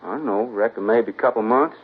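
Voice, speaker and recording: A man's voice: on the low side, fairly quick. A man playing a nervous, evasive young suspect from New Orleans, speaking with a hesitant Southern drawl in a 1950s radio drama. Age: young adult. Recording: old lo-fi radio broadcast, husky.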